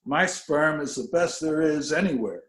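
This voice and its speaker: A man's voice: on the high side, normal speed, close to an everyday voice. Man reading as a blustering bull breeder, echoey. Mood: boastful. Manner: blustering, folksy. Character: loud, crude, boastful male bull breeder. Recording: roomy, echoing video call audio.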